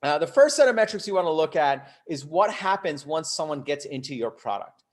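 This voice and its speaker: A man's voice: a little high, very animated, brisk. A man from Bangladesh and the US giving a live online coaching talk, conversational and emphatic, often checking in with rhetorical questions. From Bangladesh until ten, then the US. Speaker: host, startup coach.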